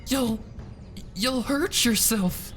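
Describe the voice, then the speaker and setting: A man voicing a distraught, delusional adult female pony in a story reading, pleading tearfully and haltingly. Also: extremely high pitch, a bit bright, pitched above the performer's own voice, full character voice. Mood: desperate pleading. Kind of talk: dramatic fan fiction reading.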